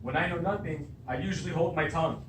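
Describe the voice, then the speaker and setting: A man's voice: a little high, quick pace, fairly bright tone, close to an everyday voice. Young man acting an earnest nobleman, echoey room. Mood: earnest, defensive. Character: loyal, reasonable nobleman defending his honor. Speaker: drama student. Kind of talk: beginner stage readers' theatre. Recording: roomy, distant mic, clear noise.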